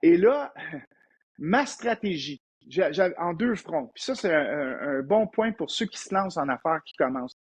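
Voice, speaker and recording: A man in his fifties with a Québécois French accent and a husky, slightly raspy voice set a bit high. He talks as a guest in a relaxed interview and tells animated business anecdotes, often restarting his phrases. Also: normal speed. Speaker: entrepreneur guest. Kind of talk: storytelling in a casual interview.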